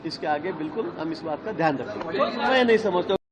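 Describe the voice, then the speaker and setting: A man apologizing in a rush, words tumbling out at a very fast pace with a slightly raised pitch. The clip is recorded outdoors, with a clear crowd and traffic around him. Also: expressive.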